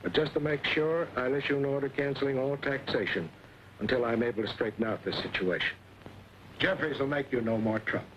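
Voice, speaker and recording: Authoritative colonel and father male actor, mid-pitched, vintage western drama, muffled sound. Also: fairly quick, fairly bright tone, lightly acted. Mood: firm, conciliatory. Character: dignified, firm army colonel, a father seeking peace. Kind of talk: 1940s western film acting. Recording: muffled, hissy old film soundtrack.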